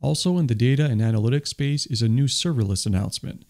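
Guy doing a scripted tech news voice-over, upbeat and explaining stuff, mid pitch. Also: quick pace, gravelly, darkish tone. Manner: enthusiastic, explanatory. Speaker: tech video host. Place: voice-over, not recorded on the stage pictured.

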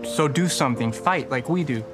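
Man acting as skeptical young activist, blunt, challenging, medium pitch, film drama, light music behind. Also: expressive, moderate pace, natural voice. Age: 20s.

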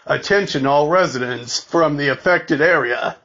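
A man reads a scripted emergency broadcast announcement in a formal, deliberate way, halting and repeating words, like an amateur. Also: on the high side, a bit bright, dull audio.

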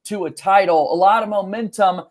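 A young man with a high-pitched voice hosts a sports podcast. He talks from a small room at home, introducing and interviewing in a podcast host's style. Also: steady tone, normal speed. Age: young adult. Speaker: podcast host.

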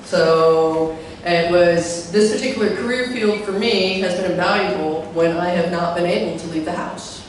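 A middle-aged woman with a deep voice gives a chatty talk on a handheld mic, filling her speech with fillers and putting questions to the audience. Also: normal speed, a little hiss or hum. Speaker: conference speaker. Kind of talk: live tech talk to an audience. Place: large hall with a projector screen. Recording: echoey hall from far off.

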